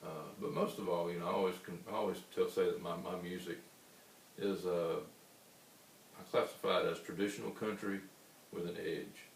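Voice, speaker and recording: A man with a baritone voice, a singer-songwriter answering interview questions in an unhurried, rambling way. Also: fairly even intonation, gravelly. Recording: roomy, distant mic with faint hiss.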